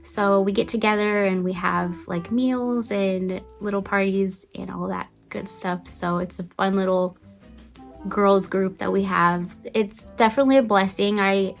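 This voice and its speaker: A young woman with a faint Brazilian accent chats casually to her vlog audience at a middle pitch. She sounds upbeat and talkative, with easy restarts, and quiet guitar music plays underneath. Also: fairly even intonation, unhurried, creaky. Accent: slight Brazilian. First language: Brazilian Portuguese. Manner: chatty and upbeat, with light laughs and small restarts. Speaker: lifestyle vlogger. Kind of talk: casual get-to-know-me chat.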